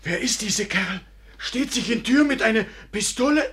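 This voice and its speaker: A man's voice: high-pitched, lively intonation, moderate pace, a bit bright, slightly rough, full character voice. An actor in a German radio play playing an eager, crude gangster henchman with a foreign accent and broken grammar. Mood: excited, menacing. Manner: broken, ungrammatical speech.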